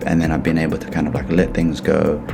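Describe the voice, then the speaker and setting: A young man with a very low voice chats on a video call, loose and rambling, full of "like" and "um". Music plays clearly underneath him. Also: fast. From New Zealand. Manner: relaxed, rambling with many fillers. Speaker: program participant. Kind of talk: casual video call chat.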